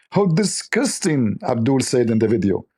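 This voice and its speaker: A man with a voice worn hoarse, giving scornful, lecturing commentary on religious texts in non-native English. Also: medium pitch, darkish tone. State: losing his voice. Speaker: religious debate host. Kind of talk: polemical commentary reading texts.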